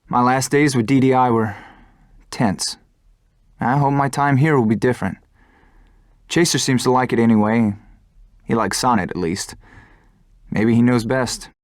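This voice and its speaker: A man's voice: medium pitch, no room sound, clean studio sound, close to an everyday voice. An actor playing a brooding, principled young male fighter pilot in an earnest, introspective way for a game cutscene. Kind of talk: scripted game cutscene narration and dialogue.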